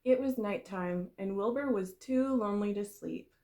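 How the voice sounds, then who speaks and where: A woman reading a storybook aloud for young children, in the expressive, explanatory way of a kindergarten teacher. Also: mid-range voice, moderate pace.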